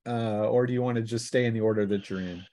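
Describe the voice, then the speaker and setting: A man narrating a tabletop roleplaying game as game master, improvising his descriptions with halting pauses. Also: fairly low pitch, fairly even intonation, mellow.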